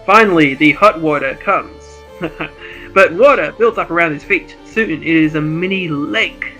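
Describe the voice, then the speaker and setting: A man's voice: fairly high pitch, measured pace, sharp tone, faint music behind, poor audio. A jovial, chuckling man, switching between casual chat and reading out script narration. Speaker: co-host and script reader.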